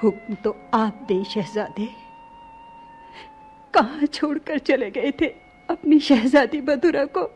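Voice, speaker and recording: A woman's voice: sits high, slow, pauses often, soft background music. A woman playing a princess who rules in men's clothing, commanding yet tender, with formal courtly delivery in a radio play. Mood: tender, gracious. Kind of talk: Hindi radio play acting.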